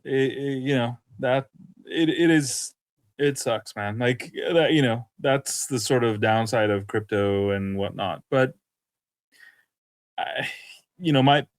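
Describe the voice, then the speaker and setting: A man co-hosting a podcast in casual, unscripted discussion, haltingly searching for his words and repeating phrases. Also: mid-range voice, no room sound. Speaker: podcast co-host.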